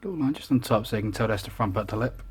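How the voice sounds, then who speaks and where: A man's voice: fairly low pitch, rapid-fire. A man, chatty and self-deprecating, talking through each step of a drawing tutorial. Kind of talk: casual real-time drawing tutorial. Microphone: voice over the drawing, not seen speaking.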